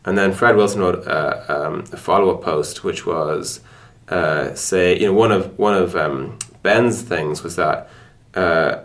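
Low gravelly guy on a podcast, explaining stuff casually, pausing to find his words. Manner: conversational, explanatory, hesitant searching for words. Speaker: tech product executive being interviewed. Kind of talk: casual podcast interview chat.

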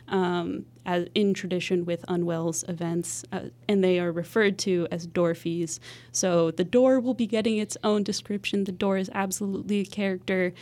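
A woman's voice: fairly low pitch, a little mellow. A woman co-hosting a podcast, chatting casually with frequent ums. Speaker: podcast co-host.

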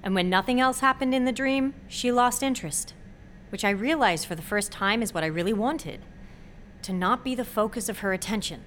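A woman's voice: on the high side, normal speed, a bit bright, a little hiss or hum, close to an everyday voice. An actress playing an intense, wry, guarded young woman in her twenties, in natural audio drama dialogue. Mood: earnest, guarded.

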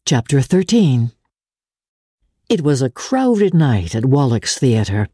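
A woman reading classic literary fiction aloud as a storyteller, with measured, expressive, dramatic phrasing. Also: extremely low pitch, breathy edge, no room sound, clean studio sound. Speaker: audiobook reader, show host.

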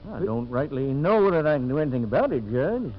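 A man's voice: mid-range voice, sing-song, fairly quick, lightly acted. An American man playing a folksy, sly frontier marshal with a rustic accent in a 1950s radio drama. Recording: muffled, hissy vintage radio recording.

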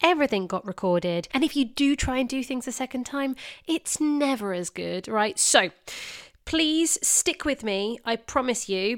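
A woman hosting a wine podcast, speaking in an informal, chatty and enthusiastic way. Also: medium pitch. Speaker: podcast host. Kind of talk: wine podcast narration and chat.

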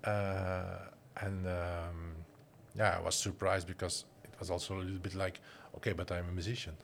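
Flemish man, 40s, low voice, halting non-native English, faint background noise. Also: flat delivery, a bit bright. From Flanders, Belgium. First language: Flemish Dutch. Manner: hesitant, many restarts and fillers. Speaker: guest, design school director and musician. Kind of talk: casual interview talk.

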